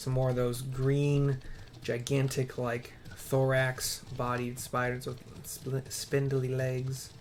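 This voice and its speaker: Male game streamer, mid-pitched, casual and playful, half talking to himself, keyboard noise in the background. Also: completely flat delivery, takes it slowly, darkish tone. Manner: offhand, playful muttering to himself. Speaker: gamer, video host. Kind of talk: casual gameplay commentary.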